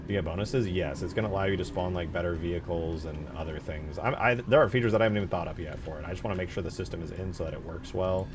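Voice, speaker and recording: A man's voice: low voice, quick pace, hardly any pauses. A man streaming casually as he builds a game mod, thinking aloud and answering chat in informal, slangy talk. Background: music behind the voice.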